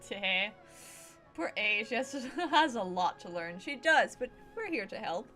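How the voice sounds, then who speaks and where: A woman's voice: mid-range voice, lively intonation, a little slow, pushed and bright. A woman streaming a game, chatting casually and talking back to the characters. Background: game music.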